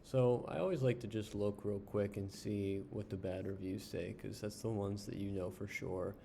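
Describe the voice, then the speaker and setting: A man casually reviewing an online service while browsing its pages, unhurried, with frequent ums and uhs. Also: on the low side, fairly even intonation, gravelly, dark tone, slight background noise. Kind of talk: casual screen-share review.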